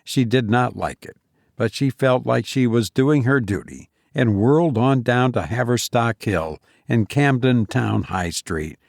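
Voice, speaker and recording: A man's voice: mid-range voice, animated, unhurried, gravelly, a little mellow, no room sound, clean studio sound. A man reading a story aloud as scripted audiobook narration. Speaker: audiobook reader.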